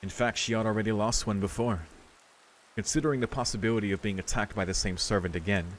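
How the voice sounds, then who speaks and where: A man's voice: fairly low pitch, fast, slight background noise. A man streaming a visual novel, reading its narration aloud with casual commentary, with rain sounds from the game behind him.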